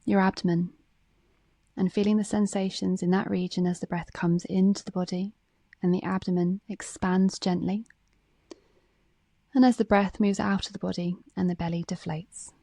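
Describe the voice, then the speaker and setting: Woman reading a guided meditation, calm n gentle, lots of vocal fry, very dry recording. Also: medium pitch, normal speed, no echo. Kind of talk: guided meditation, read from a script.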